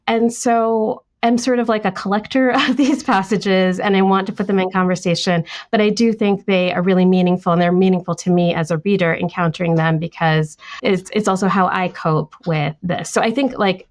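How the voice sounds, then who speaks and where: A woman author whose first language is Tamil, talking reflectively in a podcast interview. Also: on the low side. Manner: thoughtful, lively, reads passages aloud. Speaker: author guest.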